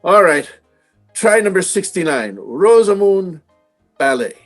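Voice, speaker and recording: A man's voice: sits high, big pitch swings, a bit bright, soft background music, full character voice. A man playing a weary, persistent orchestra conductor giving clipped instructions in old time radio drama style. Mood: weary, commanding. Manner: brisk, commanding, clipped.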